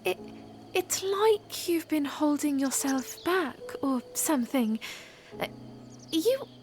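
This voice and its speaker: Audio drama princess, woman actor: shy, earnest, halting formal speech, very high pitch, slow delivery. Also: animated, darkish tone, a little hiss or hum. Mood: tender, unsure. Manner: hesitant, halting, polite formal phrasing. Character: earnest, shy young princess, playful and polite. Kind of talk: fan audio drama acting.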